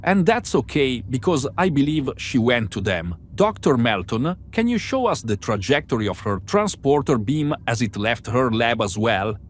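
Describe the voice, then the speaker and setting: An analytical male crew member in a fan-made space drama, played by a man, lays out findings methodically. His mid-pitched voice moves with lively intonation over faint background noise. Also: moderate pace, few pauses, close to an everyday voice. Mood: eager, reasoning. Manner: precise, methodical explaining. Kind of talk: amateur sci-fi audio drama acting.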